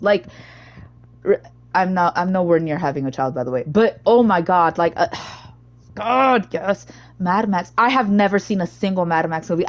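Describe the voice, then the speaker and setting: A young woman streamer with a naturally low, slightly hoarse voice, chatting casually and rambling between topics. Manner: rambling, chatty, easily sidetracked. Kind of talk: casual gaming stream chat.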